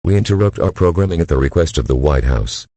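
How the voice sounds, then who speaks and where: A man voicing a stern, formal emergency alert announcer, measured and impersonal, reading a scripted broadcast warning. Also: a bass voice, lively intonation, dark tone, husky, no echo, exaggerated voice. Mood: grave, official.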